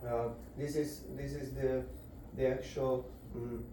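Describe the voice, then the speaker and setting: A man giving a devotional scripture lecture to a group indoors, halting and searching for words as he explains. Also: medium pitch, little pitch movement, dark tone, slight background noise. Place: indoor gathering room. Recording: very echoey room, voice far off.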